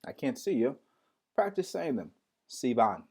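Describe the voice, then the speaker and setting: A man's voice: medium pitch, sing-song, dark tone, breathy edge. A man teaching a live-streamed Hebrew lesson, repeating each word and spelling it out letter by letter, often restarting as he talks straight to his viewers. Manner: drills words slowly, spells them out letter by letter.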